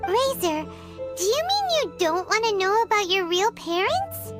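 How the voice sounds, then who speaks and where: Squeaky, very high-pitched little fairy girl. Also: normal speed, over music. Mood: eager, puzzled. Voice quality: squeaky, childlike. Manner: eager, expressive, earnest. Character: tiny chirpy childlike female fairy companion. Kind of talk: English video game dub.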